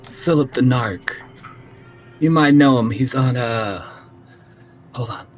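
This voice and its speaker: Slow-talking man improvising at a tabletop game. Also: mid-range voice, slight background noise. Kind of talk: casual improvised role-play.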